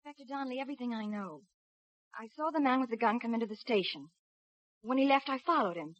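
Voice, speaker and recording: High-voiced earnest woman witness, acted. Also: quick pace, dry recording, close to an everyday voice. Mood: earnest, urgent. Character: earnest, composed female witness, quietly insistent. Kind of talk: 1950s radio acting. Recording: dull, muffled 1950s radio recording.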